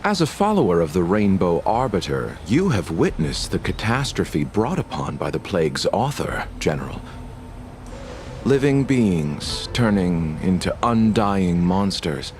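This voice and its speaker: A man's voice: low-pitched, sing-song, measured pace, a little mellow, faint music behind. A man voicing a solemn, formal questioner in a video game, with a weighty, rhetorical way of speaking. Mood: solemn, pointed. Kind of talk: dramatic game dialogue.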